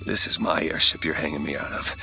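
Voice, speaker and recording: A man playing a gruff, hard-bitten mercenary in a video game, blunt and wry. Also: a bass voice, monotone, brisk. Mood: guarded, blunt. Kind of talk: dramatic video game acting. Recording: loud music behind. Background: loud music.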